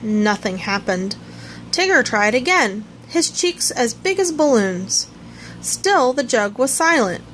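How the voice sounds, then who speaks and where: A woman reading a children's story aloud in an expressive storytelling manner. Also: a little high, slow, a little hiss or hum, rough recording. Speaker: storybook reader. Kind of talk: scripted children's story narration, read aloud.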